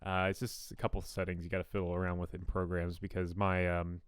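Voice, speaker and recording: A young man with a fairly deep radio-style voice, chatting casually and rambling with self-deprecating asides while he builds in a game. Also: completely flat delivery, quick pace, smooth, dry recording. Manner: rambling, self-deprecating, frequent ums and restarts. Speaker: gaming YouTuber. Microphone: new studio condenser mic.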